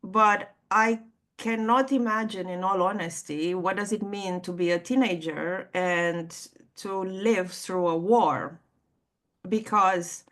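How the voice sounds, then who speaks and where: A woman's voice: medium pitch, steady tone, takes it slowly, a bit bright. Earnest woman hosting an interview. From Romania. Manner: earnest, effusive questioning. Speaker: host. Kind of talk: interview conversation.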